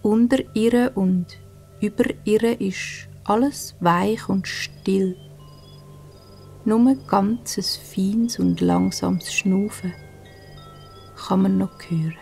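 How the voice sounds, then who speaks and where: A woman with a Swiss German accent narrating a children's bedtime story softly and soothingly, breathy and hushed, with gentle pauses. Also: mid-range voice, soft background music. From Switzerland.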